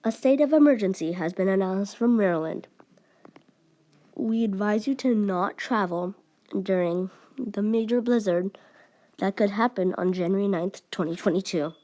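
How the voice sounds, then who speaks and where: A woman giving mock emergency news announcements in a formal but halting, amateur way. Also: medium pitch, dark tone.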